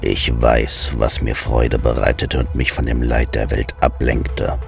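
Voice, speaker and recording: A brooding male god of the underworld rumbles in a very low, gravelly voice, slow and heavy. He sounds set back from the mic. Faint music plays underneath. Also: flat delivery, heavily acted. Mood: mournful. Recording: distant voice over faint music.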